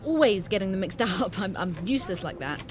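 A woman's voice: mid-range voice, expressive, fairly quick, noisy background, lightly acted. An actress playing a meek, eager-to-please young woman in an audio drama, hesitant, polite and self-deprecating. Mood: apologetic. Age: young adult.

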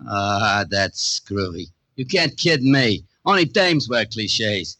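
A man's voice: lowish voice, big pitch swings, pushed and bright, no echo, full character voice. A male actor playing a dim, gullible American police sergeant with a slangy, protesting manner in an old radio drama. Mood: dopey, protesting. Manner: slow-witted, slangy, protesting. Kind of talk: 1940s radio comedy-drama acting. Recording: dry old radio broadcast.

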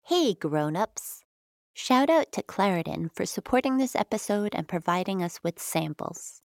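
A woman's voice: medium pitch, animated, a little slow, dry recording. A woman delivering a scripted, first-person sponsor read for a podcast.

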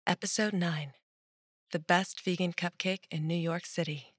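A woman delivers a scripted show introduction as announcer. Her voice sits a little low, recorded dry with no echo. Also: fairly even intonation, moderate pace, breathy edge. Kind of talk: scripted advisory and title announcement.

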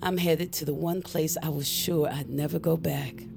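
An actress playing a secretive, intense female spellcaster in an audio drama, speaking in a deliberate, wry and ominous way. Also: deep voice, fairly even intonation, creaky, noisy background. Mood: grave, resolved.

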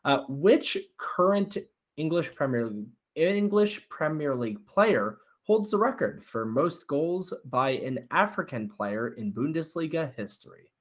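A man's voice: fairly high pitch, expressive, measured pace. A man reading quiz questions in a casual podcast, encouraging his co-host and offering clues. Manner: upbeat, encouraging, gives clues.

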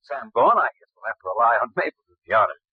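An actor playing a falsely innocent, defiant smuggler boss in a 1940s radio crime drama. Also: male voice, medium pitch, animated, fast talker, slightly rough. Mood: contrite, relieved. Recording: muffled old radio recording.

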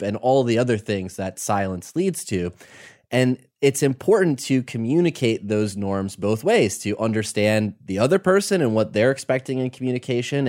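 Low-voiced male podcast host. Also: darkish tone. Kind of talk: podcast interview.

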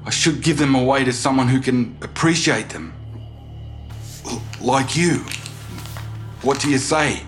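An actor in an audio drama playing a frightened, wealthy lawyer who stammers, rambles and pleads. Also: male voice, mid-range voice, a little slow, pauses often, a bit bright, faint music behind, close to an everyday voice. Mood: nervous placating.

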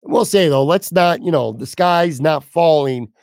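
A hoarse man, slightly high-pitched for a man, running a solo sports talk show. He talks bluntly and casually and lets a curse slip now and then. The sound is dry and close, with no room echo. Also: unhurried, darkish tone. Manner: candid, blunt, casual with mild swearing. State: losing his voice, hoarse. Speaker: podcast host.

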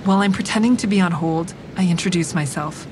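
Over clear rumbling traffic noise, an actress plays a hard-edged woman narrating her own drama. Her voice is on the low side, and her delivery is wry, frank and deliberate, in clipped sentences. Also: close to an everyday voice. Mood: watchful, reflective. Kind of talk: scripted first-person dramatic narration.

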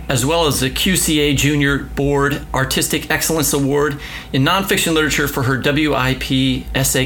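A woman's voice: extremely low pitch, fairly bright tone, a little hiss or hum. A woman hosting a podcast in a casual, playful way. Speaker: podcast co-host.

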